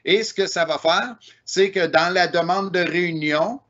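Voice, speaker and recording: A man with a Québécois French accent walks through software features in a steady, explanatory way, often pausing on "euh" and "ben". His voice sits a little high for a man. The audio is muffled and lo-fi, like a video call. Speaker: presenter. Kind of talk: online technical presentation.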